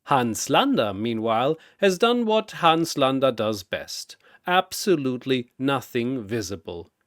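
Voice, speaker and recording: Slow, dramatic male storyteller, very animated. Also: medium pitch, no echo, studio quality. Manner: theatrical, short suspenseful phrases. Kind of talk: dramatic scripted narration.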